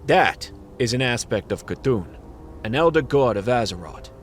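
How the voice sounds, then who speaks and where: A hard-boiled detective played by a man in a fantasy radio drama. He is world-weary and knowing, with brooding, deadpan lines that swing widely in pitch, over faint music. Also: normal speed, close to an everyday voice. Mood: knowing, grave. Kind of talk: noir radio play narration and dialogue.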